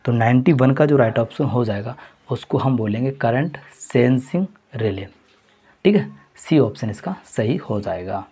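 A man with a husky, slightly breathy voice works through a mock test as a teacher. His pitch sits in an ordinary middle range. He repeats the answers and keeps calling his viewers friends. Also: animated, normal speed, warm tone. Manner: repetitive, addresses viewers as friends. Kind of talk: exam question walkthrough.

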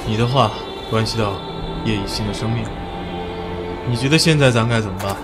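An actor playing a decisive, impatient expedition leader in an audio drama, blunt and commanding. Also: male voice, lowish voice, a little fast, loud music behind the voice, close to an everyday voice. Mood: urging. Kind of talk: Chinese audio drama acting.

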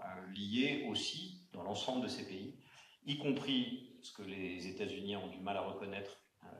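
A man moderating a round-table discussion in an auditorium, speaking haltingly with many fillers and restarts. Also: fairly low pitch, fairly bright tone, slight background noise. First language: not Arabic. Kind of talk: hesitant spoken introduction at a panel. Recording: echoey auditorium sound.

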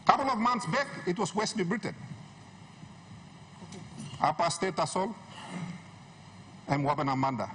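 A middle-aged man addresses parliament in a high-pitched voice. He is impassioned and emphatic, repeating phrases for rhetorical effect. The chamber microphone carries faint background noise. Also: sing-song, unhurried, long pauses, fairly bright tone. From Papua New Guinea. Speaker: member of parliament. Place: large wood-panelled parliament chamber. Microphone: desk gooseneck microphone, standing.

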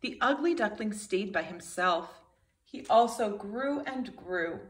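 A woman's voice: mid-range voice, lively intonation, takes it slowly, a little hiss or hum. A woman in her thirties, a kindergarten teacher reading a storybook aloud expressively to young children.

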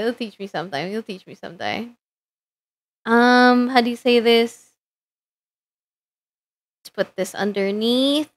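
A woman's voice: on the high side, a bit bright. A young woman from the Philippines, a VTuber chatting casually with her stream audience while she works, giggling often and filling gaps with ums. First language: Tagalog. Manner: chatty, giggly, lots of fillers. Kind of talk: casual live-stream chat while working.

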